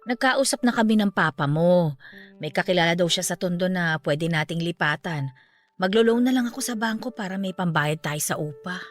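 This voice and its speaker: Woman voicing a practical middle-aged aunt, low voice, calm and matter-of-fact, radio drama, soft music behind. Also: normal speed, lightly acted. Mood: somber. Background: faint background music.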